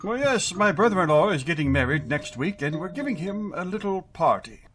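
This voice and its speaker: An actor playing a grouchy, pompous school principal in a comedic radio play, with a blustering manner. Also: male voice, high-pitched, sing-song, a little fast, music in the background. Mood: brisk, self-important. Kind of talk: comedic old-time radio play acting.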